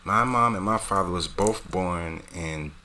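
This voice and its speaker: A man from the United States hosting his vlog, talking casually to camera in a relaxed, rambling way. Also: low voice, unhurried. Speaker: vlog host. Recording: music playing underneath.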